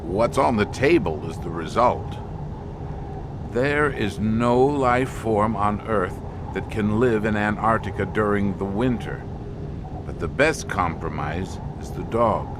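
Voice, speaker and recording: An actor playing a grave expedition scientist in an audio drama, speaking deliberately with weighted, halting pauses. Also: male voice, lowish voice, expressive, background noise. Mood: grave, haunted. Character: grave male expedition scientist explaining an alien menace.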